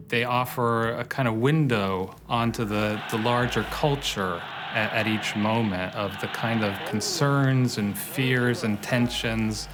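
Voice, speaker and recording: Lowish-voiced, halting male researcher. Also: a bit bright, slight background noise. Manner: halting, thoughtful. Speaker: hoax researcher. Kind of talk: reflective interview talk.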